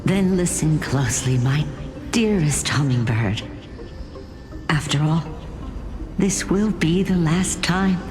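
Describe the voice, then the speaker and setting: A woman voicing a wise, tender elderly grandmother in a video game, with the measured, solemn manner of someone telling an old legend. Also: low-pitched. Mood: fond, wistful. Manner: measured, deliberate, expressive. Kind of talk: dramatic game voice acting, solemn storytelling. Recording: music behind the voice.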